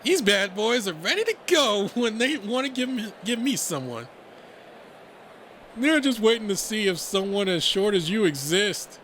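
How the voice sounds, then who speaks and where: A man voicing a cocky, friendly young male animal fighter in an audio story, with an excitable, slangy, teasing manner. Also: high voice, normal speed, a little hiss or hum, voice pushed up.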